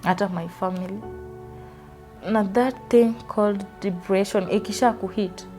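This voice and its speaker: A woman's voice: mid-range voice, steady tone, moderate pace, dark tone, soft background music. A Kenyan woman in her twenties from Western Kenya, earnestly telling her life story in an interview and switching freely between English and Swahili. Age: 20s. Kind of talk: personal testimony interview.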